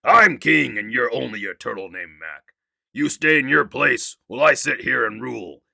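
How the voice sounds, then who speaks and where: A man voicing a pompous, bossy male turtle king who barks orders and boasts loudly. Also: medium pitch, very animated, moderate pace, vocal fry, no echo, clean studio sound, exaggerated voice. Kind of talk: storybook read-aloud.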